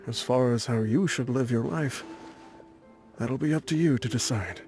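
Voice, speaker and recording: A man's voice: mid-range voice, normal speed, a little mellow, soft background music, natural voice. An actor playing an ailing, aging desert warband leader in a game, chuckling and boastful as he rambles. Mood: wry, chuckling. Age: older.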